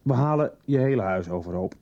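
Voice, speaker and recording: An actor playing a stern, threatening police detective who questions sarcastically in a radio drama. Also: male voice, medium pitch, expressive, a little fast, warm tone, lightly acted. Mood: sarcastic, pressing. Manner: pressing, sarcastic interrogator. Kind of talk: 1990s radio drama acting. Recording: dull lo-fi 1990s radio drama.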